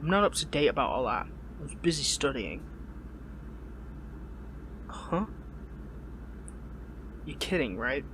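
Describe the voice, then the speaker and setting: A man's voice: medium pitch, big pitch swings. Man acting a pained, defiant captive hero, noisy recording. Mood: confused, exasperated. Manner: halting, sardonic, stammering. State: pained, weary. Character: captive young male hero, defiant, wry, wounded. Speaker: voice actor in audio roleplay. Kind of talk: dramatic audio roleplay, one-sided dialogue.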